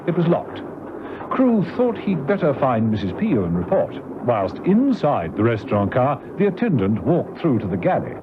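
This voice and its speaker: A man's voice: medium pitch, huge pitch swings, normal speed. A man playing the storyteller of a spy radio serial, narrating in a scripted, dramatic style. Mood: suspenseful. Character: brisk, animated male storyteller of a spy serial. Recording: noisy muffled vintage radio recording.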